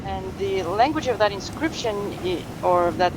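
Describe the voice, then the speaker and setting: Actress as calm Bulgarian woman, medium pitch, helpful, conversational, clearly noisy background with rumble and wind. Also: moderate pace, lightly acted. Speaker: actor in a fiction podcast. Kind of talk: naturalistic phone conversation.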